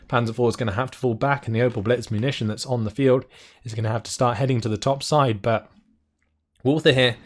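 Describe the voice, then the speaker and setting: A man commentating a strategy game match live, his voice hoarse and going as he talks. He calls the action in quick, excitable bursts and breaks into laughter at wild moments. His pitch sits in an ordinary middle range for a man. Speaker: game caster.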